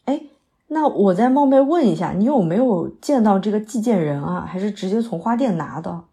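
一位女演员在广播剧里饰演刚下戏、略显疲惫的戏曲女演员，语气客气口语化，偶尔迟疑。. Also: female voice, mid-range voice, animated, a little fast, dark tone, natural voice. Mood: flustered, polite. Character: polite, weary female opera performer after a late show. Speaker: stage actress in an audio drama. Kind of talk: naturalistic modern audio drama dialogue.

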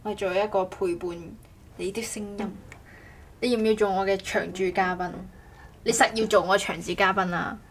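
A woman's voice: mid-range voice, fairly even intonation, moderate pace. A woman hosting a casual podcast chat in a playful, teasing way. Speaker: podcast host. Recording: clearly noisy background ambience.

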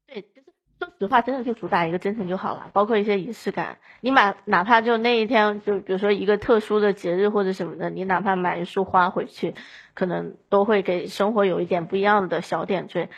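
A woman's voice: mid-range voice, steady tone, fast talker, slightly rough, muffled recording. A woman from southern China chatting casually as a podcast guest, explaining her thoughts with examples in a reflective way. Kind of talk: casual chat.

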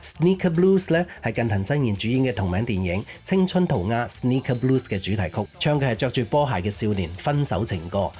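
A man's voice: medium pitch, animated, brisk, few pauses, a little mellow. A man presenting a music programme with chatty, explanatory commentary in a conversational style. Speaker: music radio or podcast host.

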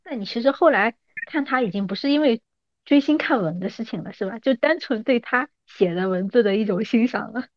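A woman's voice: fairly high pitch, lively intonation, fairly quick, dry recording, highs cut off. A woman in her thirties hosting a casual podcast chat, listening attentively and prompting with questions. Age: 30s. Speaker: podcast host.

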